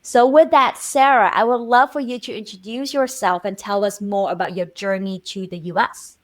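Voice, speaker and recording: A woman from Vietnam hosts a podcast interview. She talks in an upbeat, energetic way, with a voice that sits in an ordinary middle range. Her questions come across warm and encouraging. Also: mid-range voice, moderate pace. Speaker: podcast host.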